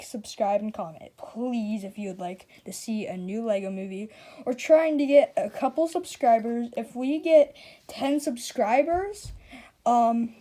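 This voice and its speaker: A woman's voice: a little high, dark tone. A woman presenting her puppet videos, speaking to viewers in a casual, unscripted, pleading way.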